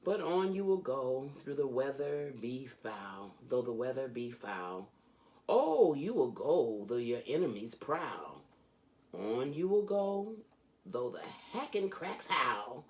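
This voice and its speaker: A woman reading a children's storybook aloud as host, with theatrical, emphatic delivery and drawn-out phrases. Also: extremely low pitch, big pitch swings, darkish tone.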